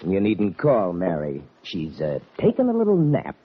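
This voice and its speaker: A menacing Western villain from an old radio serial. His husky voice rises and falls dramatically as he taunts and threatens with a tough drawl. The recording is dull and dated. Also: low voice, wildly swooping pitch, normal speed, full character voice. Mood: sneering, gloating. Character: menacing, sneering Western outlaw, a double-crossing male villain.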